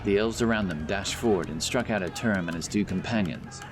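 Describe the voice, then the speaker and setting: A man's voice: deep voice, brisk. A man reading scripted fantasy narration as an audiobook narrator, with background music behind him. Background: background music and effects.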